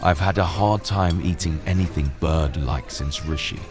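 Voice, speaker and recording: A man's voice: low voice, normal speed, natural voice. A man voicing a tired, wry male secret agent in an audiobook, with a scratchy voice and a dry, casual manner. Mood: weary, wry. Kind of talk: audiobook character voice. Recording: music behind the voice.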